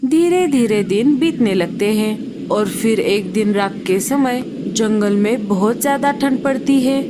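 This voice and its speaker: A woman narrating a children's storybook cartoon in a calm, measured voice. Her pitch sits somewhat high and her pace is unhurried. The tone is plain and steady throughout. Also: noisy background.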